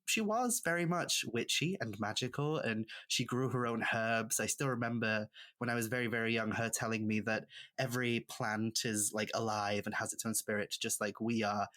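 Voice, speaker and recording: A woman's voice: a bass voice, a bit bright. A Welsh woman whose first language is Welsh, a guest author telling reflective personal stories in a casual podcast chat. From Wales. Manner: reflective, storytelling, with light laughs.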